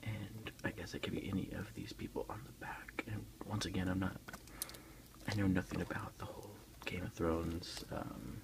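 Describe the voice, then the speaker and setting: A man softly whispering an informal, unhurried ASMR unboxing in a breathy voice. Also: low-pitched, fairly even intonation. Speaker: ASMR video creator. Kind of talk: ASMR unboxing, softly narrated show-and-tell.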